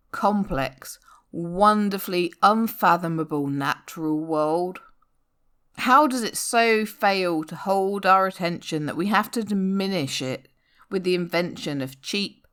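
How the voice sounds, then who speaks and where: A woman performing a comic spoken-word poem in a sardonic, theatrical and emphatic manner, with deliberate pauses. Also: fairly low pitch, fairly bright tone. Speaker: poetry reader.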